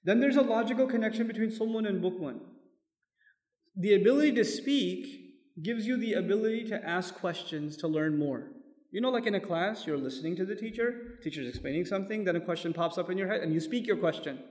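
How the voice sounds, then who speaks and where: A man's voice: high voice, lively intonation, fairly quick, darkish tone. Male Quran teacher, raised voice register, lecture-style sermon in a reverberant hall. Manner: explains step by step, asks the audience questions; quotes Arabic verses.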